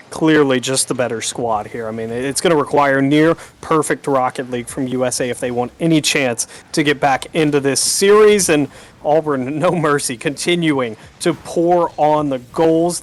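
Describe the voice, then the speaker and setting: A male esports commentator calls a live match play by play. His pitch is a bit above the usual for a man. He tracks each move and adds short bits of analysis.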